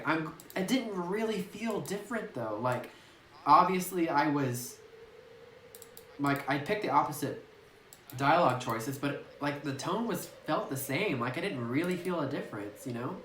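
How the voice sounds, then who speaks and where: A let's-play commentator muses aloud in a loose, meandering way, stopping and restarting and leaning on "like" between thoughts. The voice sits at a middle pitch. It was captured on a phone in a room with noticeable echo. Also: animated, moderate pace, fairly bright tone, a little hiss or hum. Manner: rambling, thinking aloud, heavy use of "like". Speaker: gameplay commentator. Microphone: phone microphone. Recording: roomy echoey phone recording.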